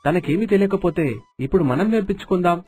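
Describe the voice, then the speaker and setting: A man's voice: high-pitched, lively intonation, fast, mellow, pitched above the performer's own voice. A man voicing a polite, earnest young son of a landlord in a cartoon moral story. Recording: dialogue over background music.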